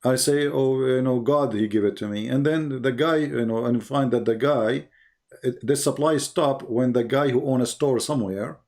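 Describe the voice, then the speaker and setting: A man's voice: mid-range voice, moderate pace, a little mellow. Man arguing in insistent lecture style. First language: non-English. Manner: insistent, didactic, rhetorical questions. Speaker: religious debater on a live call. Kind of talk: polemical live lecture to a caller.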